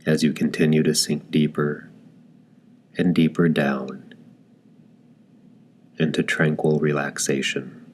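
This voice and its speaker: Male meditation guide: extremely low pitch, slow delivery, gentle and calm, with long deliberate pauses. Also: slight background noise. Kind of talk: guided meditation, slow soothing scripted narration.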